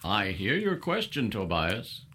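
Expressive actor as a hospitable biblical-era father. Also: fairly low pitch, slow pace, full character voice. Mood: solemn. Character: hospitable, devout older father and householder of biblical times. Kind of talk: full-cast audio drama acting.

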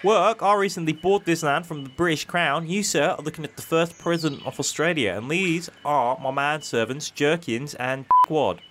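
A man's voice: on the high side, background noise, close to an everyday voice. A man playing a cheeky, scheming, matey convict. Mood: breezy bluffing. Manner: matey, slangy fast-talker. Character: cheeky, scheming male convict posing as easygoing nobleman.

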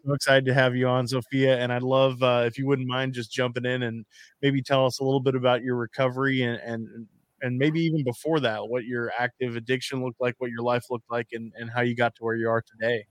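A male host opens an interview with a welcome and a friendly question. He speaks in a steady, flat tone. The recording is close and dry. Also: medium pitch, a little fast, dry recording. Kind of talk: casual interview introduction.

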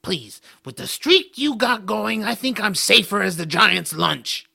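A man's voice: sits high, animated, measured pace, vocal fry, bright, forceful tone, husky, exaggerated voice. A man voicing a small, grumpy male troll in an audio drama, sarcastic, fretful and forever complaining. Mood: bitter, exasperated.

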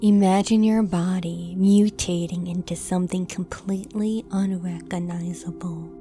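A woman's voice: lowish voice, steady tone, unhurried, mellow. Woman narrating a horror trailer over music. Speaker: trailer narrator and announcer for an audio drama.

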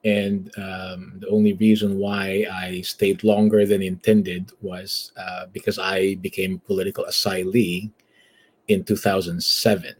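A man from the Philippines with a Filipino accent and a husky voice, telling his story conversationally as an interview guest. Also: deep voice, little pitch movement, unhurried. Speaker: church elder and guest.

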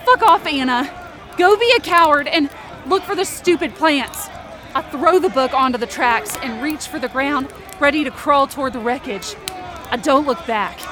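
A woman's voice: sits high, normal speed, a crowd in the background, noisy background, natural voice. A woman acting as a stubborn, desperate young rescuer, narrating a supernatural audio drama in an intense, emotive way. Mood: angry, urgent. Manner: intense, emotive, swearing under strain. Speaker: narrator and lead actor of a fiction podcast. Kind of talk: dramatic first-person present-tense audio drama narration.

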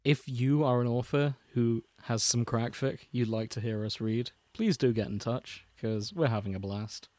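A man, co-hosting a casual podcast, reading fanfiction aloud in a deadpan way and laughing often. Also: mid-range voice, moderate pace, hardly any pauses, no echo.

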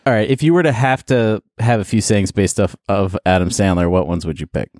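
A man's voice: on the low side, a little mellow, no echo, clean studio sound. A man hosting a casual podcast, teasing his friends and stretching words out for emphasis. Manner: playful, teasing, drawn-out emphasis. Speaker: podcast host. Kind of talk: casual banter.

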